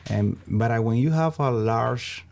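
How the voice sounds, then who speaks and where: A man from Venezuela with a Venezuelan Spanish accent, answering interview questions in a halting way as he searches for words. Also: mid-range voice, creaky, darkish tone. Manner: halting, searching for words, frequent "you know". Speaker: interview guest, small business founder.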